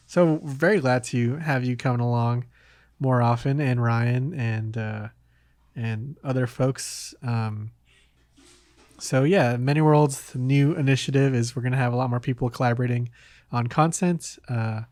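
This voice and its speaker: A man's voice: mid-range voice, a little mellow, no room sound. A man hosting a casual podcast, talking in a hesitant way as if thinking aloud. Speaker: podcast host.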